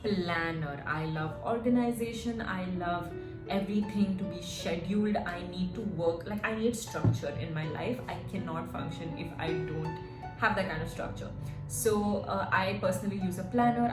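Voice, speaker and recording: A woman in her twenties who grew up in Singapore, a fitness coach talking casually to camera in an animated, storytelling way with lots of "like" and "right?". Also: fairly low pitch, moderate pace. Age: 20s. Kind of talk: casual vlog chat. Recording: echoey room with music behind.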